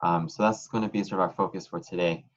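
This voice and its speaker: Low-voiced man moderating a video panel. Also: quick pace. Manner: relaxed, many "um"s and "uh"s. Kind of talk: hosting an online panel. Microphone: video call. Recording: muffled video call audio.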